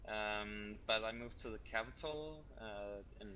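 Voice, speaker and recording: Young danish guy, bright voice, lots of ums, a bit of hiss behind. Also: lowish voice, measured pace. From Denmark. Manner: hesitant, many ums and uhs. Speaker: guest gamer. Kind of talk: casual interview chat.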